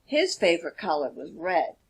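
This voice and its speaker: A woman narrating a children's storybook expressively, with deliberate, dramatic emphasis. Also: fairly low pitch, very animated, slightly rough. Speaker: author reading own children's book.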